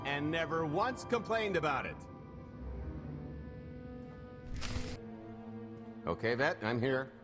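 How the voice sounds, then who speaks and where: Cocky, swooping-voiced scoundrel smuggler. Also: male voice, fairly high pitch, big pitch swings, moderate pace. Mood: dry, impatient. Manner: glib, sardonic banter. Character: wisecracking male scoundrel smuggler, cocky, dry-witted schemer. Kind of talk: video game cutscene acting. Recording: loud music behind the voice.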